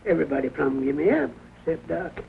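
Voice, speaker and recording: A folksy Southern country man in an old film comedy. His voice is high and creaky and swoops around as he drawls. The soundtrack is tinny and hissy. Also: very animated, fast talker, heavily acted. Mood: folksy, chatty. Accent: rural Southern US. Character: folksy rural old-timer farmer, trading eggs. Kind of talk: 1940s comedy film acting.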